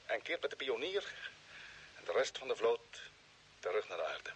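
A man acting a brisk, decisive spaceship commander in a radio drama. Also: mid-range voice, big pitch swings, natural voice. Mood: brisk, commanding. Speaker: actor playing expedition leader. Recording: faintly noisy old radio drama recording.

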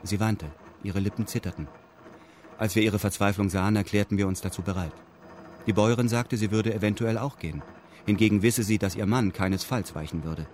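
A male narrator reads a scripted radio drama in a fairly low voice. His delivery is formal and matter-of-fact. Clear ambient noise runs behind him. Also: a little fast, a little mellow.

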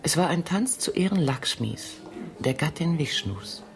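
An actress in a German radio play playing a seductive, self-assured exotic dancer and hostess with a coquettish, teasing manner. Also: female voice, sits low, animated, a little slow. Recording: studio radio play with music behind.

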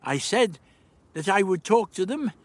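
A man voicing an eccentric old gentleman doctor in a storybook, genial and crafty, with fluttery asides and grand exclamations. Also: high-pitched, animated, a little slow, voice pushed up. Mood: crafty, pleased.

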